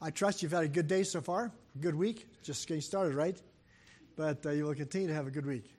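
An older man with a soft, slightly high voice preaches a sermon into a church microphone. He talks quickly, often stopping for fillers and restarting his sentences. His tone stays gentle and personal. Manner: speaks fast, with frequent fillers and restarts. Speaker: guest preacher. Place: church sanctuary.

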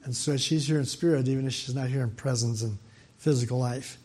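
An older man, soft-voiced, giving a sermon as a guest preacher in a church, talking fast with frequent fillers and restarts. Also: mid-range voice, fairly even intonation. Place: church sanctuary. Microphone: microphone.

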